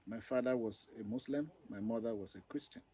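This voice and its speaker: A man from Cameroon, a ministry director, telling his story slowly and deliberately in an interview. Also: mid-range voice, mellow, breathy edge. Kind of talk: interview testimony.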